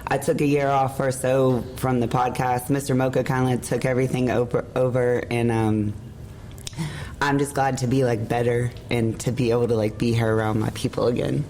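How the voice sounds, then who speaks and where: Hoarse woman hosting a podcast. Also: very deep voice, little pitch movement, normal speed, warm tone, a little hiss or hum, low-quality recording. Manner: rambling, emotional, laughs often. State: hoarse, lost voice. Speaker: podcast host. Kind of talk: casual chat. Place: room at an event hotel. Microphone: shared mic.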